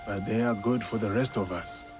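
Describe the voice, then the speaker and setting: Kindly warrior, male actor, game drama: low, grave, reflective, clear music behind. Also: fairly even intonation, moderate pace, natural voice. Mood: solemn, fond. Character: solemn, kindly warrior ally in feudal Japan. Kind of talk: video game cutscene dramatic acting. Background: background music.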